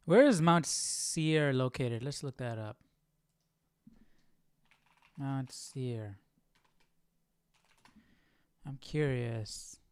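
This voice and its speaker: A man's voice: mid-range voice, extremely slow, long pauses, a little mellow, smooth, no room sound. A man hosting an informal Bible study livestream, chatting casually and thinking aloud as he goes.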